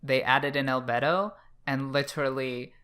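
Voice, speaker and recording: A man's voice: medium pitch, steady tone, normal speed, sharp tone, smooth. A man co-hosting a casual podcast, speaking in a playful, cheeky way. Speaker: podcast co-host.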